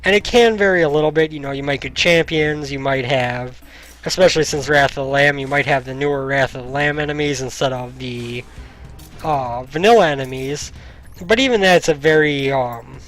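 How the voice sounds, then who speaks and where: Young guy rambling over a game on his headset, kinda hoarse, bit higher voice, quiet game music behind. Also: normal speed. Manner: rambling, thinks aloud with frequent ums. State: slightly hoarse. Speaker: gaming YouTuber. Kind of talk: casual let's-play commentary. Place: home room, indoors. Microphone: headset mic. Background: faint game music.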